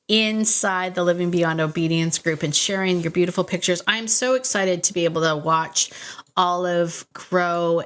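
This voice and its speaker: A woman's voice: low-pitched, fairly bright tone. A woman hosting a podcast, talking casually and directly to the listener in a chatty, encouraging, informal manner that often interrupts itself. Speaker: podcast host and dog trainer. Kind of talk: casual solo podcast talk addressed to the listener.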